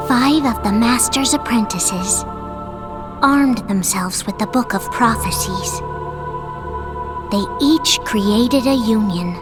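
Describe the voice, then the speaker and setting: Gentle game storyteller, very slow, higher voice, soft narration. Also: female voice, over music. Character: gentle female storyteller opening a fantasy tale.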